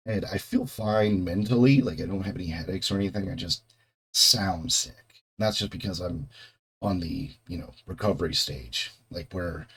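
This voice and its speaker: A young man hosting a reaction video, talking casually with a voice still hoarse from a cold, rambling, swearing and restarting his sentences. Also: low-pitched, normal speed, fairly bright tone. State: hoarse, getting over a cold. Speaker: host of a video reaction channel. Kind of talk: casual off-the-cuff chat.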